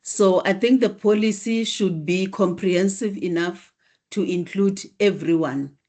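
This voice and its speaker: Slow, mellow-voiced female student. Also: mid-range voice. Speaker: university student. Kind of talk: class discussion contribution.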